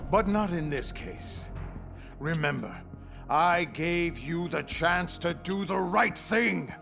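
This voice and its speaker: An actor playing a condescending, taunting rebel leader as a theatrical video game villain. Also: male voice, on the high side, animated, slow, gravelly, over music, full character voice. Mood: smug, taunting.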